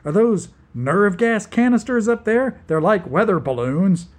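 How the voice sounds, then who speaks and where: A man voicing a frantic young man who hollers in a strained, raised voice, well above the reader's own. The storybook delivery stays slow and drawn out. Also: high voice, very animated, warm tone, pitched above the performer's own voice, exaggerated voice. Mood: irritable. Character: frantic, irritable young man adrift in the air.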